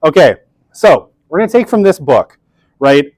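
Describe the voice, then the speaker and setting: A man's voice: fairly high pitch, big pitch swings, moderate pace, darkish tone, no room sound, poor audio. A man in his thirties giving a casual university lecture in an old lecture room, talking into a podium microphone that barely picks him up, chatty and self-deprecating, with many fillers. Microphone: podium microphone, weak pickup.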